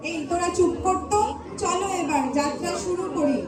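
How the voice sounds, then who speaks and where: A woman's voice: extremely high pitch, normal speed, crowd noise. A woman playing a coaxing, bossy goddess wife and mother in an amateur comic stage play. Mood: bossy, scolding. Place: stage in a large hall. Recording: echoey stage hall, distant mic, music, audience.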